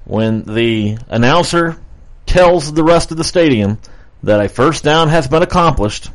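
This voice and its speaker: A man's voice: a little high, lively intonation, unhurried. A man co-hosting a local radio talk show, bantering playfully and laughing often. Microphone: studio microphone.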